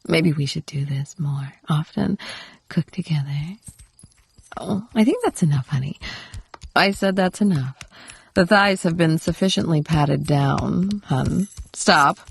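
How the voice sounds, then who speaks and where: A woman playing a doting, possessive, teasing wife in an intimate audio roleplay, cooing in a breathy voice that often drops toward a whisper. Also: deep voice, expressive, full character voice. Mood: coaxing, pleased. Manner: coaxing, cooing pet names, unhurried murmuring.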